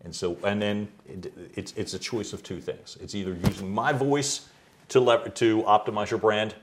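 A middle-aged man from the United States, a voice coach being interviewed at home, who talks loudly and animatedly and laughs easily. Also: fairly low pitch, background noise. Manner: loud, animated storyteller with quick laughs. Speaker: guest, executive voice coach. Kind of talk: conversational interview. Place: his home.

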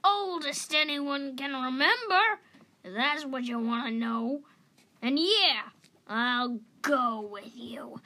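A woman voicing an ancient female cat in a storybook, croaky, gruff, bossy and folksy. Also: high-pitched, slow pace, bright, forceful tone, pitched above the performer's own voice, exaggerated voice. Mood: gruff, demanding. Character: crotchety, ancient, tough female stray cat.

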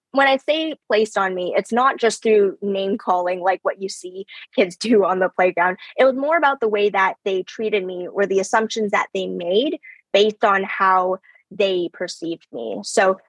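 A woman's voice: mid-range voice, a bit bright, no room sound, rough recording. A young woman, a podcast guest giving conversational answers, articulate with small restarts and light laughs. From Canada. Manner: articulate, repeats words at starts, laughs lightly. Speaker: podcast guest, brand strategist.

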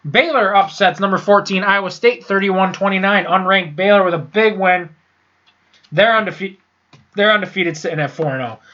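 A man's voice: high-pitched, moderate pace, pushed and bright, dull audio. A young man with a congested, sinus-clogged voice, casually recapping college football scores, blunt and profane, often stumbling and restarting. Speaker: sports podcast host. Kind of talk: casual solo sports recap.

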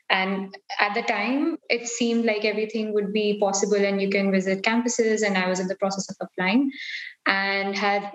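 A young woman from India, a PhD student, answering interview questions thoughtfully, pausing and hedging with fillers. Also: mid-range voice. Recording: echoey room.

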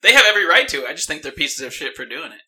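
A man's voice: on the high side, sing-song, rapid-fire, bright, forceful tone. A young man co-hosting a casual chat, blunt and crude as he pushes back in an argument.

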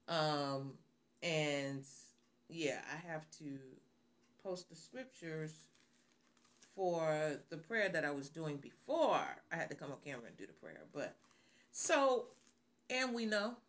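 A woman's voice: low voice, animated, slow. A middle-aged woman preaching on the radio, opening with prayer and then asking rhetorical questions and repeating phrases.

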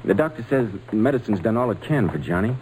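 An anxious father pleading in old radio drama style. His pitch moves a lot, over faint recording noise. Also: big pitch swings, fast talker. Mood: worried, pleading. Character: worried, pleading father of a sick boy. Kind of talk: 1940s American radio drama acting.

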